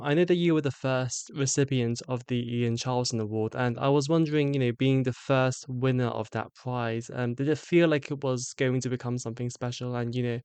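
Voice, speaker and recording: Man, podcast host, smooth clear voice, dry sound, quick hedging questions. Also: mid-range voice, a little mellow, no room sound. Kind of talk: interview questions.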